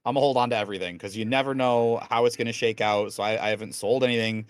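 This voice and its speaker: Low-voiced American man, dry sound. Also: steady tone, clear, resonant tone, no room sound. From United States. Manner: enthusiastic, quick stories with put-on quotes. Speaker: guest. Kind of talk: casual chat.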